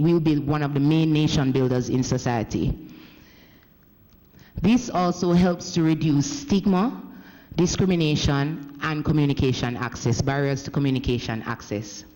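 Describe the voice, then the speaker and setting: Jamaican man, slightly high voice, formal address in a reverberant hall, measured delivery with frequent self-corrections. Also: warm tone. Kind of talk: formal parliamentary speech, interpreted live. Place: parliament chamber. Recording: echoey parliament hall.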